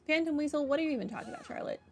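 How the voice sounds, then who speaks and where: A woman's voice: high voice, animated, rapid-fire, soft background music. A woman giving casual video game playthrough commentary with dry, wry asides. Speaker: gamer.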